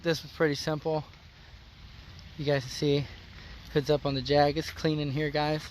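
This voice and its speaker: Slightly hoarse man, flat folksy voice. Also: on the high side, moderate pace, a little hiss or hum, rough recording. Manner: folksy, plain-spoken, explaining as he goes. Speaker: car customizing channel host. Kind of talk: casual how-to vlog. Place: outdoors beside his car.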